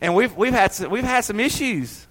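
A man preaching a sermon to his church, swinging from loud emphasis to hushed asides. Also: high voice, animated, normal speed. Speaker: pastor.

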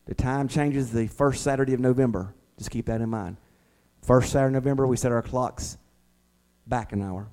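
A man's voice: mid-range voice, steady tone, moderate pace, a little mellow. A middle-aged man preaching an informal, conversational sermon as a pastor, in a folksy way. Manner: folksy, uses homely examples and acts out imagined replies.

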